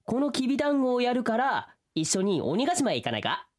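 A man's voice: very high voice, wildly swooping pitch, fairly quick, full character voice. A man playing a young folktale hero in a comedic radio drama, casual and slangy, with blunt straight-man retorts. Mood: negotiating.

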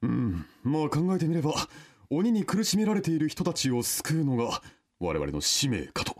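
A man's voice: on the high side, sing-song, normal speed, a little mellow. A man playing a polite, reluctant animal retainer in a comic radio drama, speaking in a dry, businesslike way. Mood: reasoning. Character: polite, reluctant male animal retainer of a folk-tale hero.

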